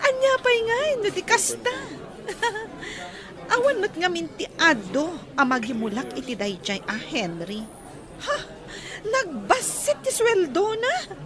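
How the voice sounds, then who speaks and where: Brash, high-pitched flirty Filipina. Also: female voice, very animated, slow pace, crowd noise, heavily acted. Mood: gossipy, amused. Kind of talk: radio drama acting.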